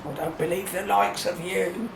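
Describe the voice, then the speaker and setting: A woman's voice: fairly low pitch, normal speed, close to an everyday voice. A woman playing a grumpy, bigoted older housewife who scolds and mutters indignantly in a radio play. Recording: roomy echo, faint noise.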